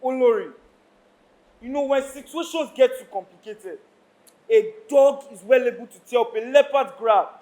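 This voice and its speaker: A man's voice: extremely high pitch, moderate pace, darkish tone. A man with a Nigerian accent acting a proud, troubled Yoruba king in a declamatory stage style. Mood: earnest. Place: stage of a live play. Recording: noisy live stage recording.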